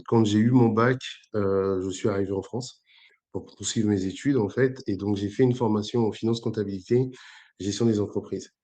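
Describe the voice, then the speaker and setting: A man's voice: sits low, darkish tone. A man in his forties from Congo-Brazzaville, speaking as an interview guest in a hesitant, conversational way full of fillers and restarts. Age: 40s. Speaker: entrepreneur guest.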